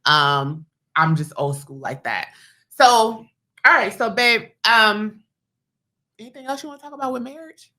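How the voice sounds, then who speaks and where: A middle-aged woman with a Southern American accent, hosting a podcast and chatting casually with her husband, loud and emphatic, laughing often. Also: on the high side, expressive, measured pace. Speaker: podcast host.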